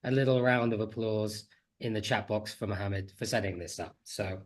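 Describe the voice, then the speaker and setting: A man's voice: lowish voice, fairly even intonation, moderate pace. A man with a slight cold giving a chatty, friendly online conference talk as a teacher trainer, on a computer mic over a video call. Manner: friendly, chatty, self-deprecating.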